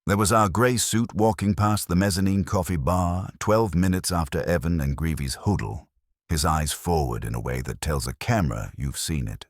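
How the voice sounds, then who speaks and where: Very deep-voiced man narrating detective fiction. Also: normal speed, no echo, clean studio sound. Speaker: audiobook narrator. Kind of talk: first-person detective story narration.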